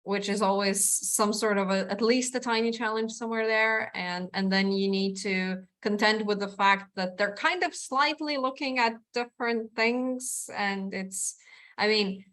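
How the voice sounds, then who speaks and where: Woman, panelist, casual, self-deprecating, filler-heavy, mid pitch. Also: fairly even intonation, unhurried, a bit bright. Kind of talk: casual academic discussion.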